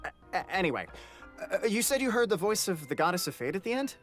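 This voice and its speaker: A woman voices a flustered game character, a clan member at the end of their patience. The delivery is halting and exasperated, swinging widely in pitch over background music. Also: very animated, natural voice. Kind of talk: scripted game voice acting. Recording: music under the voice.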